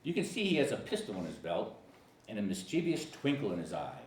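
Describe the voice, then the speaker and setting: Male narrator for a table read, animated delivery, mid-pitched, off-mic with faint hiss. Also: brisk, reverberant, recorded from a distance, low-quality recording. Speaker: narrator of screenplay directions.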